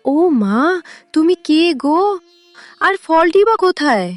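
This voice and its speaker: A woman's voice: high voice, sing-song, slow pace, dry recording, faint music behind, full character voice. A woman playing a meek, tender young mother in a cartoon moral story, speaking plaintively and humbly. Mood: astonished. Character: meek, pleading young mother cast out with her daughter.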